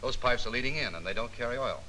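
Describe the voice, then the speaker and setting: An actor playing a confident, meticulous Army photo interpreter in a 1940s training film, explaining things precisely. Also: male voice, medium pitch, expressive, fast, pushed and bright, low-quality recording. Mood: self-assured. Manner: precise, self-assured, explanatory. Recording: 1940s film soundtrack with faint hiss.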